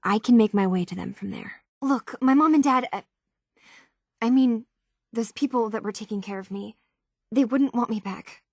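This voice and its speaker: A woman's voice: on the high side, normal speed, no echo. Earnest, troubled young woman, close and dry. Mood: hesitant, troubled. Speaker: video game voice actor. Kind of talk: game dialogue voice acting.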